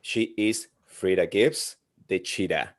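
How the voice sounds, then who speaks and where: A man from Mexico City with a Mexican Spanish accent hosting an interview in halting English, often restarting his sentences. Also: low-pitched. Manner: halting, with many restarts and filler sounds. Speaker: interview host. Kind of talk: casual interview.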